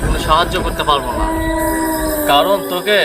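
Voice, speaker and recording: A man's voice: on the high side, brisk, loud music behind the voice, close to an everyday voice. A man voicing a stern uncle who cautions and commands in a horror story. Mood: warning. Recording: clear background noise under the voice.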